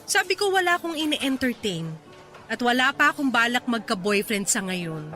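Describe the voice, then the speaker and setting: An actress playing Mylene, a hardworking young woman devoted to her mother, in a radio drama with soft music underneath. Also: fairly high pitch, big pitch swings, moderate pace.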